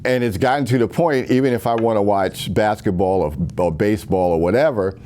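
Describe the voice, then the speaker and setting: A man's voice: lowish voice, a little fast, darkish tone, a little hiss or hum. A man giving an earnest, rambling spoken monologue with many restarts, recorded at home.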